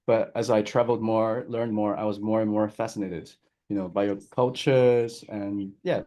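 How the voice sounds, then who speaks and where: A man's voice: fairly low pitch, fairly even intonation, normal speed, warm tone. A Chinese man speaking as a guest on a video call, friendly and hesitant, often restarting his sentences. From China. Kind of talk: casual remarks on a video call.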